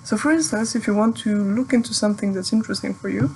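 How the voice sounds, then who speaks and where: A woman's voice: mid-range voice, fast talker, darkish tone, a little hiss or hum, poor audio. A young woman from Serbia, a PhD student whose first language is Serbian, giving friendly, thoughtful advice in English in a recorded video message. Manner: friendly, thoughtful, with light laughs.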